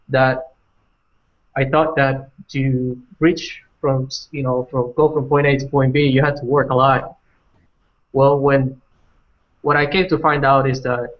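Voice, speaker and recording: A young man from Colombia, telling his own story on a panel and restarting his sentences often. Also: mid-range voice. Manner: halting, many restarts and fillers. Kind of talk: personal story on a webinar. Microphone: video call. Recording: dull lo-fi video call audio.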